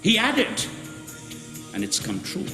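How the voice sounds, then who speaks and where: A man reading a book excerpt aloud at a launch event, with a measured, emphatic delivery. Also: sits high, huge pitch swings. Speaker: guest reader. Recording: roomy hall with music behind.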